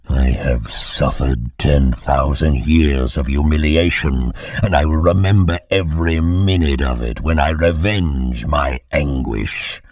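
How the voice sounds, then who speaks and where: An actor voicing a wicked ancient demon overlord in a video game, vengeful and gloating in a grandiose, menacing way. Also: male voice, darkish tone, dry recording, clean studio sound, exaggerated voice. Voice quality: deep, menacing. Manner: slow, grandiose villain speech. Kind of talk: dramatic video game voice acting.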